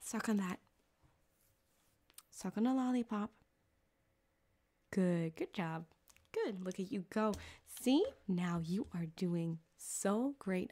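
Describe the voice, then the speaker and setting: Woman as a sadistic, flirty cyberpunk surgeon, ASMR roleplay. Teasing, close-miked, breathy with some creak. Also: medium pitch, warm tone, heavily acted. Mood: coaxing, teasing. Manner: coaxing, teasing, breathy, drifting into whispers. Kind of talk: ASMR roleplay, intimate one-sided scene. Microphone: close binaural microphone.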